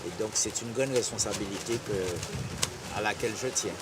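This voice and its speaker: A man's voice: lowish voice, normal speed. Distant, reflective man, noisy outdoors. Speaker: water sports figure honoured at an inauguration. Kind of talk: spontaneous interview answers.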